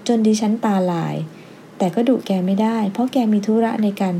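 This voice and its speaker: A woman's voice: medium pitch, fairly even intonation, brisk, mellow, a little hiss or hum, lightly acted. A woman voicing an exasperated, indignant female primary school teacher in a storybook, complaining in an emphatic but formally polite way.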